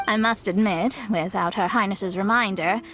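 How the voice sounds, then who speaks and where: A woman's voice: medium pitch, normal speed, a bit bright, soft background music, lightly acted. A woman voicing a proud, earnest young female astrologer in a video game, self-assured and a little prim as she explains herself.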